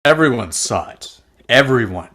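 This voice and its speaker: A man's voice: mid-range voice, expressive, normal speed, sharp tone. A man playing a stubborn, accusing husband in an amateur drama table read, with a blunt delivery. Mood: scornful.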